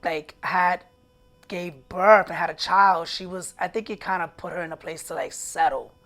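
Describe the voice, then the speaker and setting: A man's voice: sits high, moderate pace, fairly bright tone. A man in his thirties with a New York accent, guesting on an interview show. He talks in a loose, chatty way and often corrects himself as he goes. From the Bronx, New York. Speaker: guest, singer and actor. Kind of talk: casual interview chat.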